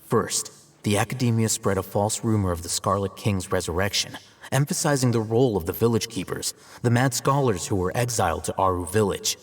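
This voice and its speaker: An actor playing a composed, analytical young scholar in a video game, speaking in a measured, matter-of-fact way. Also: male voice, fairly low pitch, long reverb tail, natural voice. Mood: composed, explaining.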